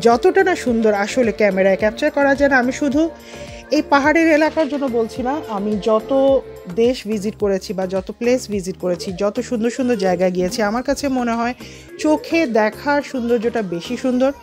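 A woman's voice: a little high, fairly quick, a little mellow, soft background music. A woman in her thirties with a Bengali accent, chatting to viewers from a moving car while narrating her road trip. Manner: chatty, addresses viewers directly. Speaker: travel vlogger. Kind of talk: casual travel vlog narration.

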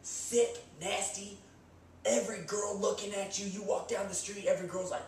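A man's voice: high voice, steady tone, normal speed, darkish tone, a little hiss or hum. A young man in his twenties hosting a comedy sketch, giving mock gym advice with cocky meathead bravado. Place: small indoor room against a bare wall. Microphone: far camera. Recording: echoey room from far off.

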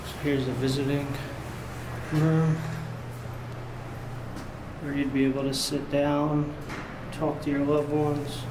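A man talks through his walk around a large, empty jail building, in a flat, even tone with little rise and fall. His voice comes through a camera microphone with a steady hiss of background noise behind him. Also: slow pace, long pauses. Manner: low-key, conversational, pointing things out. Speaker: urban explorer host. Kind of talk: casual walkthrough narration.

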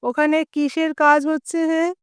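Woman doing a brisk, questioning father. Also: sits high, little pitch movement, no echo, clean studio sound, voice pushed up. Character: busy middle-aged father on his way to office.

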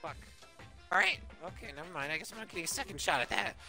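A man's voice: on the high side, big pitch swings, fast, vocal fry, pushed and bright, faint music behind. A man dubbing a video game with friends, joking and swearing casually. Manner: jokey, swearing. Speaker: amateur dubber.

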